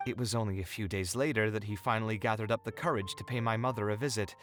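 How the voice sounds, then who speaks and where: Casting: male actor as earnest young architect, expressive and a little wry, thoughtful storyteller, anime game, music underneath. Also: lowish voice, fast talker, natural voice. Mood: nostalgic, sheepish. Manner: articulate, thoughtful storytelling delivery. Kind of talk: anime-style video game voice acting.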